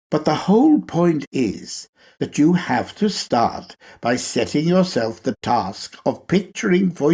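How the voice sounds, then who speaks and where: A man reads a lecture aloud in a husky, somewhat rough voice. His delivery is slow and instructive, with deliberate emphasis on phrases and a lively rise and fall in pitch. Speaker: reader of a lecture. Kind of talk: read-aloud esoteric lecture.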